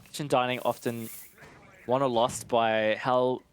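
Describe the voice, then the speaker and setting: A man's voice: mid-range voice, little pitch movement, a little slow, slight background noise. A man giving conversational, analytical live commentary on an esports match.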